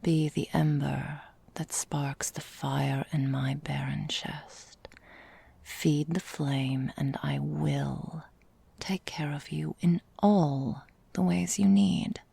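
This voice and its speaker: A woman playing an ancient, possessive vampire mistress in an ASMR roleplay, speaking in a breathy, husky half-whisper with deliberate, formal phrasing. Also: sits low, fairly even intonation, dark tone, heavily acted. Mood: seductive, cool. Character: female vampire mistress, ancient, possessive, seductively menacing, melancholy. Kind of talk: ASMR roleplay, intimate dramatic monologue.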